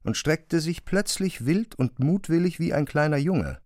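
A man reading scripted literary narration for a radio drama in a measured, precise way. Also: fairly high pitch, animated, darkish tone, no echo, studio quality.

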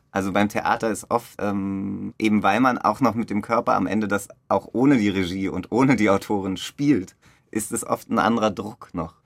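Low, creaky-voiced man in conversation. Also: no room sound. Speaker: theatre director interviewed about a radio play. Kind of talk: casual reflective interview talk.

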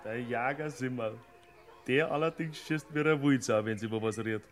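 A man's voice: mid-range voice, moderate pace, slight background noise, natural voice. A man playing a cheeky young Bavarian farmhand. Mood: mocking. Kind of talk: classic radio play acting.

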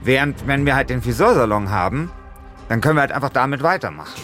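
A man's voice: mid-range voice, expressive, fast, a bit bright. Male, mid-range voice, casual spontaneous talk, colloquial, insistent, faint music behind. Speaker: crime audio drama writer.

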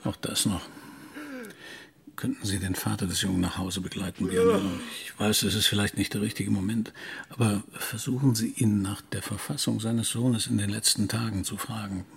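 Dry, gravelly police commissioner. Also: male voice, fairly low pitch, steady tone, normal speed, exaggerated voice. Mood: subdued, considerate. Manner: probing, politely ironic. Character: male police commissioner, persistent, dryly sardonic. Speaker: actor in a radio crime drama. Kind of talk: naturalistic German radio drama acting.

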